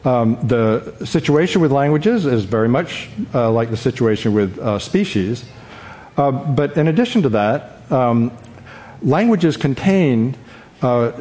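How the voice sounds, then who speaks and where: A man speaking unscripted as a journalist on a panel, in a halting, hesitant way. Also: mid-range voice, darkish tone. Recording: echoey hall reverb.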